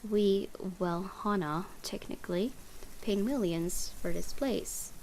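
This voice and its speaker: Female gaming host, reading visual novel narration, casual asides, medium pitch, faint background noise. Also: takes it slowly, a little mellow, rough recording.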